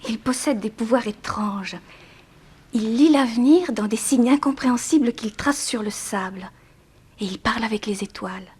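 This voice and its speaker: An actress playing a composed, frank but guarded young bereaved daughter in a 1960s French radio drama, with measured theatrical diction. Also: female voice, on the high side, a little mellow, slightly rough. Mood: earnest.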